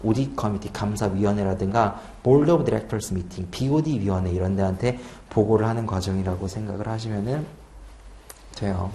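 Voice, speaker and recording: A man's voice: lowish voice, a little fast, mellow. A man in his twenties, an exam prep lecturer, chatting casually and laughing at himself during a lecture. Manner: chatty, self-deprecating, laughs often. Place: lecture room. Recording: echoey room, faint hum.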